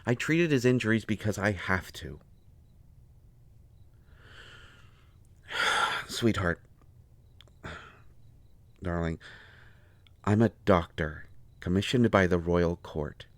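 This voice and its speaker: A man's voice: low voice, slow, long pauses, no room sound. A man acting out a harried, protective royal court doctor in an audio roleplay, defensive and exasperated with a lover. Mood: earnest, weary. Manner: pleading, exasperated, swinging from soft explaining to flustered outbursts. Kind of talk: dramatic audio roleplay, one side of a conversation.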